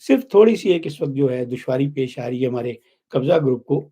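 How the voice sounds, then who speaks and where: A man's voice: mid-range voice, animated, quick pace, dark tone, slightly rough. A man with a Pakistani accent giving blunt, critical, unscripted political commentary as a show host.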